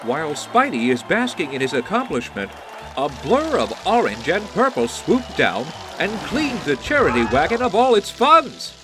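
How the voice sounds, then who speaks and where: Superhero-serial narrator, male actor: dramatic, campy, very animated, cheeky, over soft backing music. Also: on the high side, normal speed, crowd noise. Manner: showman-like, dramatic. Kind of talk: campy old-time serial scripted narration.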